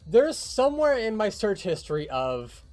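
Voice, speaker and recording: A man with a fairly high voice chats casually as a livestream co-host. He talks in a relaxed behind-the-scenes style, with faint music playing underneath. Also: moderate pace. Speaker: fandub creator co-hosting a livestream.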